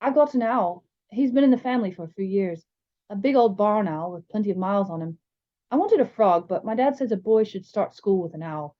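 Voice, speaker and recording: A woman's voice: medium pitch, lively intonation, a little fast, close to an everyday voice. Woman voicing a chatty, cheeky young American boy. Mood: breezy, excited. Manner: rambling, enthusiastic, breezy. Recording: slightly dull, lo-fi sound.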